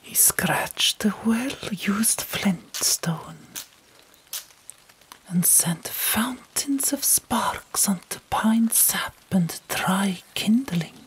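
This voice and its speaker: A woman's voice: medium pitch, drawn-out words, rough recording. A woman narrating an audiobook in a whispered ASMR style.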